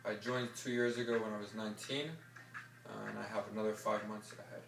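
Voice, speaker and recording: A young man from New York describes his army service in a steady, deliberate way. His voice is low and gravelly. He sounds far from the mic in an echoey space, with clear music underneath. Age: 20s. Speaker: serving soldier interviewee. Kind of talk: earnest interview testimony.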